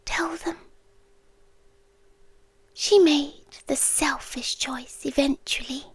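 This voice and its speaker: A British woman playing an ancient, lovelorn world-ending being in an ASMR roleplay, speaking in a soft, breathy, intimate whisper. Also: sits high, fairly even intonation, no room sound. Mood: mournful farewell. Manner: slow, hushed, intimate, with pauses. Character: ancient female apocalypse entity, lovelorn, tender and melancholy. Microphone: close microphone in a dry space.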